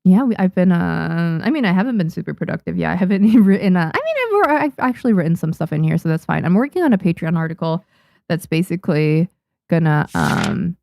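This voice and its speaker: Gravelly, low-voiced woman streamer. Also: big pitch swings, fairly quick, warm tone, no room sound. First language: Japanese. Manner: chatty, reads and answers chat, frequent restarts and fillers. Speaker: streamer and manga artist. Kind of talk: casual live-stream chat with viewers.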